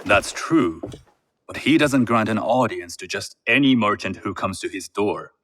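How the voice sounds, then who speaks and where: An actor playing a shrewd, composed merchant heir in a game set in feudal Japan, speaking in a measured, formal way. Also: male voice, fairly low pitch, lively intonation, fairly bright tone, a little hiss or hum. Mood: guarded, appraising. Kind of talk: video game cutscene acting.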